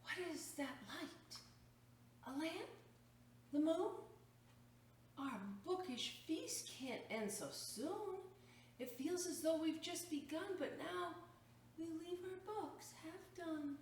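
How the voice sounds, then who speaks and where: A woman reading a picture book aloud to children as a story time host, expressively drawing out words and adding chatty, explanatory asides. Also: sits high. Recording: echoey room, distant mic, faint noise.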